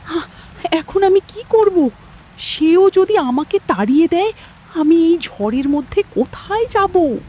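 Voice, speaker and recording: A woman voicing a stingy old village woman in a cartoon moral story, with an expressive, dramatic delivery. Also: sits high, highs cut off, a little hiss or hum, full character voice.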